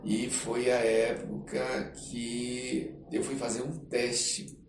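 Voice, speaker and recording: Brazilian guy telling stories and doing the dialogue, kinda far from the mic, faint noise behind. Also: mid-range voice. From Brazilian Amazon. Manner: animated, acts out dialogue, halting with "né" tags. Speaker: guest artist, actor telling life story. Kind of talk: casual anecdotal storytelling in a podcast interview. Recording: roomy echo, distant mic, faint noise.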